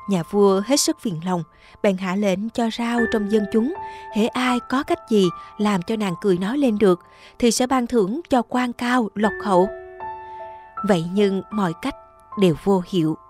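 A woman giving scripted storybook narration as the narrator of an animated folktale. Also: mid-range voice, moderate pace, music in the background.

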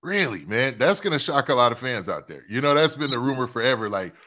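A man's voice: medium pitch, very animated, fast talker, sharp tone. A man hosting a casual interview, eagerly affirming and asking leading questions. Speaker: interview host.

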